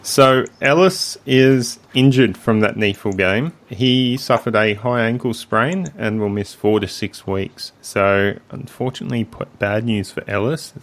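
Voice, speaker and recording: A man with a croaky voice, hosting a casual sports podcast in a relaxed way with frequent ums. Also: on the low side, measured pace, few pauses, slight background noise. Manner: relaxed, leads the segments, many ums. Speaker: podcast host.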